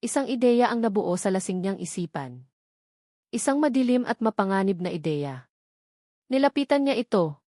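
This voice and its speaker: A woman's voice: mid-range voice, very animated, moderate pace, pauses often, warm tone, no room sound, clean studio sound. A woman with a Filipino accent reads a scripted romance drama as a storyteller, in a dramatic narrating style.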